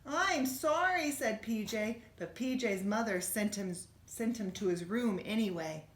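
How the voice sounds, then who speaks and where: Echoey, lower-pitched woman reading to children. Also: a little hiss or hum. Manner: expressive, gently questioning, speaking to young children. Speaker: schoolteacher reading a bedtime story. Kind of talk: children's storybook read-aloud with asides to listeners. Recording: roomy, echoey room sound.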